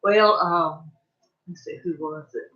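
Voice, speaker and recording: An older woman making dry, joking asides in a casual chat at home. She talks slowly and unhurriedly. Her voice comes through roomy and dull, as if from across the room. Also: on the low side, a bit bright.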